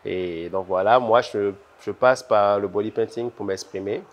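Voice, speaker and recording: A man's voice: lowish voice, normal speed, highs cut off. A man with a Beninese French accent answering interview questions conversationally, repeating words as he thinks. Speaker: interview guest, visual artist. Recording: noisy ambient background.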